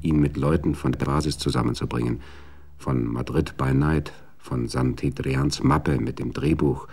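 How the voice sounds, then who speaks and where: A man narrating a radio drama in a measured, literary way. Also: very deep voice, steady tone, vocal fry, a little mellow, slightly rough.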